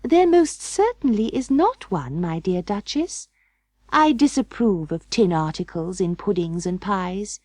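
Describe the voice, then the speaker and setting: A woman's voice: mid-range voice, lively intonation, measured pace, darkish tone, no echo, voice pushed up. A woman voicing a prim, fussy female cat hostess in a storybook reading, proper and emphatic. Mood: indignant, firm. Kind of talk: audiobook storybook character voice.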